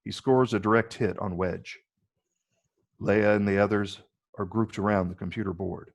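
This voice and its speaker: A man narrates a script's stage directions in a low register. His voice has a heavy gravelly fry as he reads out the scripted action. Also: fairly even intonation, moderate pace.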